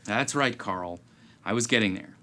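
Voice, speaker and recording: An actor playing a dry, clipped private investigator in a film-noir style skit, with a gravelly drawl. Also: male voice, lowish voice, normal speed, pushed and bright. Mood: explaining. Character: hard-boiled male private eye, clipped and dry. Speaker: detective lead in a tax explainer skit.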